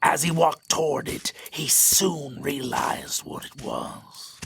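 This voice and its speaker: A man with a gravelly voice narrating a scripted story as a storyteller. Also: a little high, takes it slowly, fairly bright tone, slight background noise.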